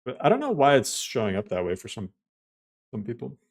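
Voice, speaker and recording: A man's voice: mid-range voice, huge pitch swings, fairly quick. A man live-streaming a game, chatting loosely with viewers and walking them through steps, with lots of "like" fillers. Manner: explains things step by step to viewers. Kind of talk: casual live-stream chat while playing.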